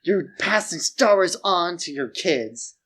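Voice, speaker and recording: A man's voice: high voice, expressive, takes it slowly, a bit bright, breathy edge. A man co-hosting a podcast, chatting informally and arguing his opinions with loose, colloquial phrasing. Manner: informal, frequent "like" while arguing points. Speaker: podcast co-host. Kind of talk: casual opinionated chat.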